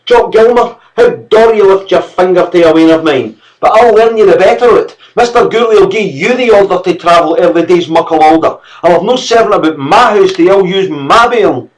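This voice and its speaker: A man's voice: sits high, animated, moderate pace, few pauses, voice pushed up, low-quality recording. A man voicing a furious Scottish housewife who shrilly defends her son, with a Scots accent. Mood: screaming fury. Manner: screaming, scolding.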